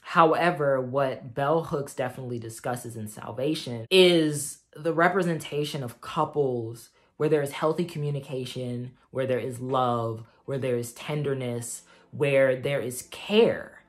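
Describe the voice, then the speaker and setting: A man's voice: medium pitch, a little slow, hardly any pauses. Earnest young man reviewing books. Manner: earnest, heartfelt, with frequent um and like fillers. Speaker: book reviewer. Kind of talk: casual book review vlog. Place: small room at home with a bookshelf, indoors.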